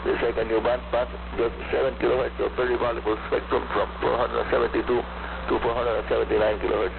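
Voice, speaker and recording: Through a noisy, narrow shortwave signal comes an older man with a Cuban accent. His voice is low and gravelly. He hosts a scripted radio bulletin in a deliberate, emphatic way. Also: flat delivery. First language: Spanish. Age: 70s. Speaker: radio host. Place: radio studio, heard over shortwave.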